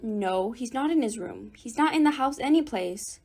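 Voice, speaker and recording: Actress as exasperated, high-voiced mother. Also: expressive, normal speed, a little mellow, breathy edge. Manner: scolding, bossy, folksy. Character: exasperated, brisk mother of two children. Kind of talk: radio drama acting.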